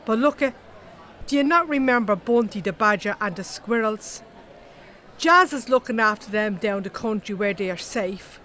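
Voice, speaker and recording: An actress playing a blunt, chatty, gossipy Irish animal-rights activist with an earthy colloquial manner in a comedy audio drama. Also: female voice, medium pitch, expressive, normal speed, fairly bright tone, a little hiss or hum, natural voice. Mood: cheeky.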